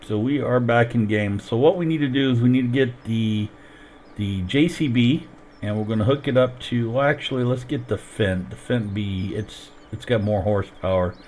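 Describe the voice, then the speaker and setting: A man's voice: medium pitch, moderate pace, a little mellow, slight background noise. A man streaming a farming game while sick and losing his voice, explaining each step as he plays into a headset. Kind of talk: casual gameplay commentary.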